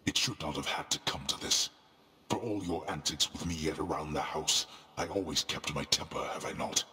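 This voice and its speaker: A man's voice: extremely low pitch, normal speed, pushed and bright, exaggerated voice. An actor playing a stern, imperious older god of the underworld in a video game, a haughty father speaking formally and scornfully. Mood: cold, grave. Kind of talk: dramatic video game voice acting.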